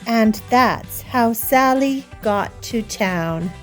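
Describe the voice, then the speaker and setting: A woman hosting story time, reading a picture book aloud to young children in a deliberate, sing-song manner. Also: on the high side, animated, faint music behind. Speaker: children's storybook reader and host.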